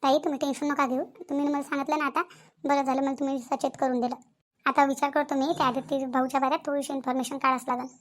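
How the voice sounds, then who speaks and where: Anxious village women of a cartoon family drama, acted by a woman, with a high-pitched voice and a Vidarbha Marathi accent. The delivery is quick, emphatic and fretful, circling back over the same words in homely, colloquial speech. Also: level tone, close to an everyday voice. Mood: worried.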